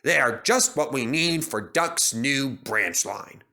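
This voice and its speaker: Storybook railway boss, male: genial authority, stately delivery, bright and animated acted by a male reader. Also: mid-range voice, takes it slowly, heavily acted. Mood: pleased. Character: genial, authoritative gentleman railway director, gravely amused. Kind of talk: children's storybook character voice.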